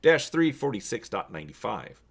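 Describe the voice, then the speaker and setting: A man's voice: lowish voice, very animated, moderate pace, creaky, exaggerated voice. Man reading as stubborn, self-assured space settler.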